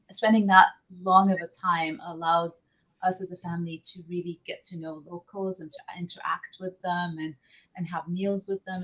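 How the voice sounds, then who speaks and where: Egyptian-born woman hosting a panel, kinda low voice, trips and restarts a lot. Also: a bit bright, rough recording. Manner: hesitant, repeats words. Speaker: moderator. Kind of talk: panel discussion.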